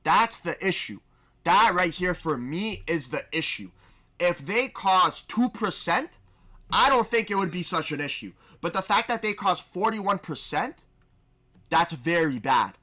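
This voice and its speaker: A man chatting casually to his stream as he reacts to a clip. His voice sits a little high and comes across bright and forceful. He rambles, restarts and trails off. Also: animated, a little slow.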